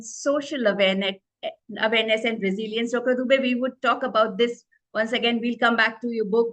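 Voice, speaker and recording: A woman's voice: a little high, fairly bright tone, rough recording. Woman host reading a formal introduction. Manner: formal, halting, with restarts. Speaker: host, moderator.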